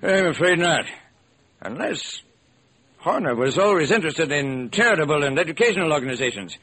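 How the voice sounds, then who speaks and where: A man's voice: fairly high pitch, expressive, a little fast, pushed and bright, breathy edge, exaggerated voice. An actor playing a firm, businesslike male company owner in a classic radio crime drama. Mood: firm, formal.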